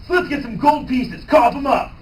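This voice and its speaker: A man playing a brash, cocky, eager young adventurer with loud exclamations in a live manga reading, recorded from a distance. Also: extremely high pitch, normal speed, a little hiss or hum.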